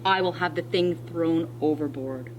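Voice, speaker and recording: A woman schoolteacher reading a children's storybook aloud, expressively and deliberately, with dramatic pauses. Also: low voice, dull audio, a little hiss or hum. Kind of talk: read-aloud of a children's storybook with teacher asides.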